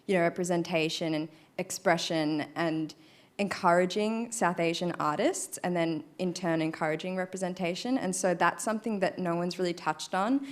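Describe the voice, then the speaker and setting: A woman's voice: on the low side, normal speed. A woman speaking frankly as a guest on a panel, chatty with many fillers. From Australia. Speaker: guest artist. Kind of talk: panel discussion.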